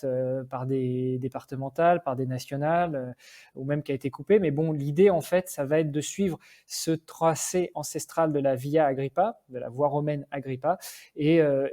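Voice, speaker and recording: A man's voice: medium pitch, fairly even intonation, normal speed, mellow. A man from France, a podcast guest and endurance athlete, explaining at length in a casual, informal way with hesitations. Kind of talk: casual podcast interview chat.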